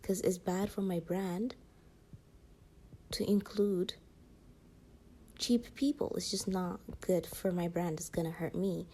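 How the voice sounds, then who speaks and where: A woman's voice: lowish voice, fairly even intonation, slow pace, long pauses, mellow, slightly rough. A woman vlogger chatting casually to her viewers in a rambling, slangy way. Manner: rambling, peppered with slang and filler phrases.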